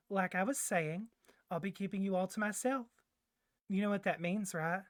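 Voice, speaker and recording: A woman voicing a doting, clingy young yandere boyfriend kidnapper in a soft ASMR roleplay, gushing and coaxing, with flustered hesitations. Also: fairly low pitch, no room sound, clean studio sound, lightly acted. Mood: possessive, musing.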